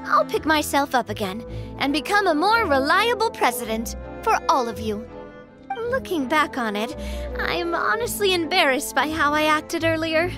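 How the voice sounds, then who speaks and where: A woman's voice: sits high, moderate pace. An actress voicing an earnest, sentimental young woman who leads a clan, easily embarrassed, in a video game. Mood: grateful, sincere. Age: young adult. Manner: earnest, expressive, hesitant when flustered. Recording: music behind the voice.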